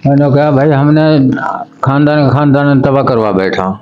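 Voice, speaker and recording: A man's voice: mid-range voice, normal speed, slight background noise. An older man with a Mewati accent, answering questions and reminiscing conversationally. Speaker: interviewee, retired soldier. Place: small bare-walled indoor room. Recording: muffled indoor recording.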